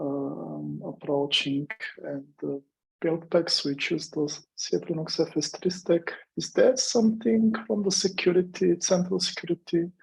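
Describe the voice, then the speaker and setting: A man asking a question in an online technical meeting, halting and searching for words. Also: on the high side, gravelly, darkish tone, breathy edge, poor audio. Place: online video call.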